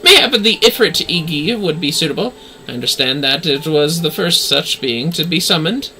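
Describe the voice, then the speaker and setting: An eager, courteous game scholar, voiced by a man, speaks in formal archaic phrases. The voice is raised and sharply bright, with animated swings of pitch over faint noise. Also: normal speed, faint music behind, exaggerated voice, poor audio. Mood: polite, eager.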